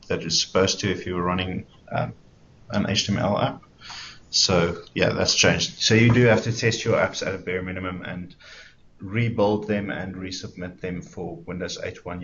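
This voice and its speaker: A man with a South African accent giving a friendly, informal webinar presentation to developers, talking things through step by step. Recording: dull lo-fi webinar audio with faint rumble.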